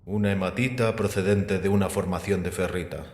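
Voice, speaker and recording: A male actor plays a learned, unshakeable sceptic in an audio drama. His low voice lays out arguments calmly and deliberately, with gentle mockery and probing questions. It rings through an echoing hall with a long reverb tail. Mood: matter-of-fact. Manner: measured, explanatory, rhetorical questioning. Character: calm, sceptical, erudite man called Revelation, gently mocking. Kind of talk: Spanish audio drama acting.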